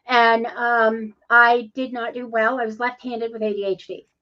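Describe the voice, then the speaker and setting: Female co-host, rambling and chatty, slightly high voice, muffled sound. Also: flat delivery, unhurried. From Ohio, USA. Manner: chatty, rambling, with many ums. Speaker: podcast co-host. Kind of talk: casual interview conversation.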